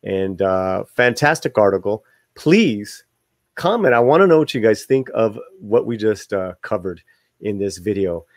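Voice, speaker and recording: A man's voice: fairly low pitch, expressive, a little slow, dry recording. Casual male host, very dry close sound. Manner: stumbles over words while reading; informal asides to viewers. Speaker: video channel host. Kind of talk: reading an article aloud with casual commentary.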